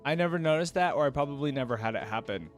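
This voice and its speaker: A man's voice: a little high, lively intonation, rapid-fire, faint music behind. A man making a reaction video, chatty and thinking aloud as he reads text out and comments casually.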